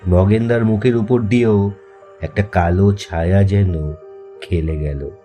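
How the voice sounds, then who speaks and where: A man tells a horror story in a low, warm, dark voice. His delivery is very slow and measured, with dramatic pauses for suspense. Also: steady tone. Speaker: narrator, host. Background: faint music.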